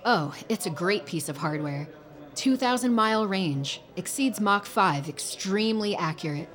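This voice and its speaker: A woman playing a brisk, technically minded government agent in an audio drama, speaking matter-of-factly. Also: mid-range voice, expressive, a bit bright. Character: brisk, matter-of-fact female tech-savvy agent Rainey.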